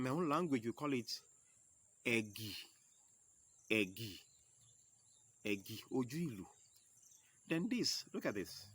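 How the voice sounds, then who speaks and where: A man with a Yoruba accent teaching a home video lesson, going slowly and repeating each key term step by step. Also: medium pitch, fairly bright tone, husky. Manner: slow, repeats key terms, explains step by step. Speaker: percussion teacher.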